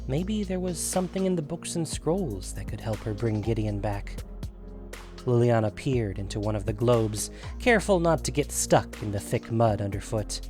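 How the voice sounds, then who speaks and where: A man narrates a fantasy story with a medium-pitched voice. His delivery is measured and dramatic, following a script. Soft music plays behind him. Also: expressive.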